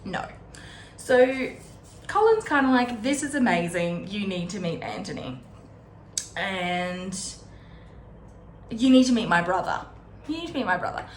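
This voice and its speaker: A woman's voice: medium pitch, big pitch swings, unhurried, long pauses. A woman with a mid-range voice gives a casual, chatty book recap. She talks informally, slipping into conversational paraphrases of what characters say. The room is echoey, and there is faint noise behind her. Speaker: host of a book readalong. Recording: roomy echo with faint background noise.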